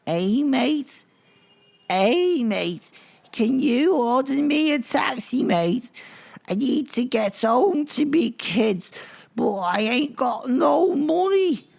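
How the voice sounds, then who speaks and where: A man's voice: very high-pitched, very animated, takes it slowly, creaky, voice pushed up, heavily acted. A man voicing a worn-out young street woman in an audio drama, coarse and slangy, blunt and insistent. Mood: pleading.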